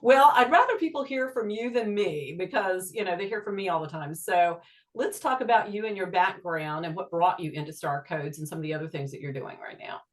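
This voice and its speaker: Low-voiced woman hosting an interview. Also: a little fast. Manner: chatty, with fillers and backchannel murmurs. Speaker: interview host.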